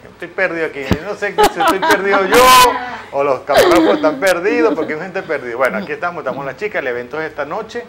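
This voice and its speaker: A man in his forties with a Venezuelan Spanish accent, hosting a live morning TV show from a studio on a lapel mic, jokey and full of quick questions. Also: on the high side, sing-song, a loud crowd. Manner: jokey, scattered, asks many quick questions. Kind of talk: live TV interview.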